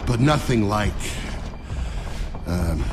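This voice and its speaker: An actor playing a sardonic young lieutenant in a video game, with a dry, guarded, terse way of talking. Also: male voice, on the low side, expressive, extremely slow. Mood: guarded, sombre. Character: cocky, sardonic young male soldier, a lieutenant. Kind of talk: video game cutscene acting and narration. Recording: loud music behind.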